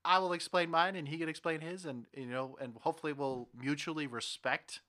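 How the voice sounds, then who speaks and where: A man hosting a podcast in casual chat, with an animated, jokey manner. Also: a little high, brisk. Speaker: podcast host.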